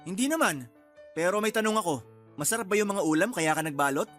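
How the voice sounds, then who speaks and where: A man's voice: sits high, animated, fast talker, a bit bright. A cocky young Filipino rival in a radio drama. He needles in a mocking, bossy way with a Filipino accent, over a music bed. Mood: teasing, mocking. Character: teasing, nosy, cocky young man, playboy rival.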